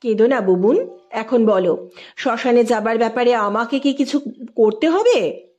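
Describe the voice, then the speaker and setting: A woman's voice: fairly high pitch, animated, a little slow, darkish tone, lo-fi sound, soft background music. A woman voicing a concerned, tender stepfather in an audio story, in a grave and sympathetic manner. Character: concerned, tender married man, anxious stepfather.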